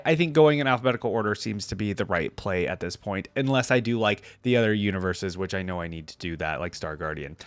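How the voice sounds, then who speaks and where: A man's voice: mid-range voice, no room sound. Male reaction host, mid-range voice, informal and rambling, recorded very dry. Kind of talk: casual reaction commentary.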